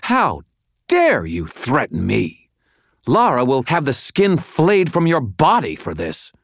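A man's voice: medium pitch, sing-song, unhurried, dry recording, clean studio sound, pitched above the performer's own voice. A man voicing a sultry, haughty aristocratic woman with a venomous, sneering manner in an audiobook. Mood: outraged.

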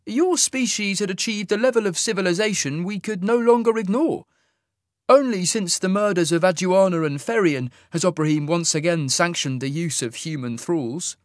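A man voicing a cold, haughty alien male officer who speaks in a raised, formal, measured way. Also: high voice, no room sound, clean studio sound, pitched above the performer's own voice, lightly acted.

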